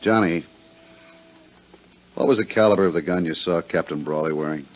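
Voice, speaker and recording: Very deep-voiced radio police detective. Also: male voice, normal speed. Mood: matter-of-fact. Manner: plain-spoken, businesslike, terse. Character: gruff, matter-of-fact male police detective, protective partner. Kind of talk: 1950s radio drama acting. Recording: muffled, noisy 1950s radio recording.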